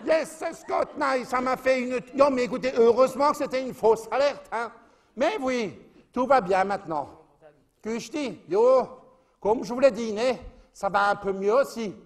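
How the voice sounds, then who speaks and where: A man's voice: very high-pitched, measured pace. A man playing a chatty middle-aged Alsatian housewife who gossips and confides on the phone, in a comic monologue. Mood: gossiping.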